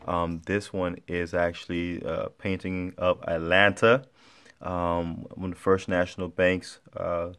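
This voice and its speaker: A middle-aged man walking through his home with a phone, chatting upbeat about paintings for sale, with many fillers and restarts. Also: deep voice. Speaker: art seller previewing his auction. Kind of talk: casual walkthrough vlog. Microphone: handheld phone camera, walking around.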